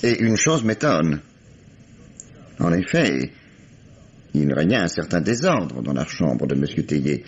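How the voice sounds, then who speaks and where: An actor playing a methodical, courteous police commissioner in a French radio mystery play, questioning formally and deliberately. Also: male voice, rumbling low, big pitch swings, slightly rough, full character voice. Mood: methodical, puzzled. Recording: dull, lo-fi vintage radio recording.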